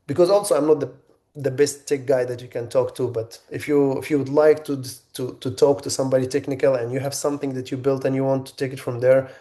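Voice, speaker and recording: Egyptian-born guy hosting a webinar, mellow low-key tone, lots of um and restarts. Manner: halting, many restarts and fillers. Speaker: webinar host. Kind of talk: webinar presentation.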